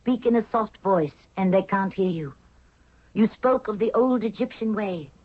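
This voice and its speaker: A woman's voice: medium pitch, normal speed, slightly rough, close to an everyday voice. A woman playing a hard-willed, commanding Egyptian queen in a 1970s radio drama, with a firm, imperious delivery. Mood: firm, persuasive. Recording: tinny narrow-band old radio recording.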